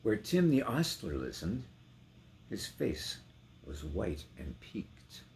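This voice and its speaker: Slow, intensely dramatic man reciting poetry. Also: fairly low pitch, wildly swooping pitch, a little hiss or hum. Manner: theatrical, declamatory, sharp dynamic contrasts. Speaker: poetry reader.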